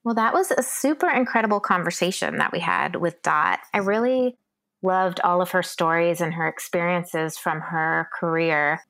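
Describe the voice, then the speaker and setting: A woman with a somewhat low voice co-hosts a podcast. She wraps up the interview in an enthusiastic, upbeat way. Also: normal speed, a bit bright. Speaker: podcast co-host. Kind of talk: podcast wrap-up commentary.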